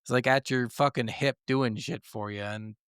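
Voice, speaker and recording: A man with a clean, smooth voice in a very dry recording, chatting casually as a podcast co-host. He is blunt, grumbles freely and swears often, at an average pitch. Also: fairly even intonation, moderate pace, a bit bright, no echo. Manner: blunt, heavy swearing, complaining. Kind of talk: casual gaming discussion.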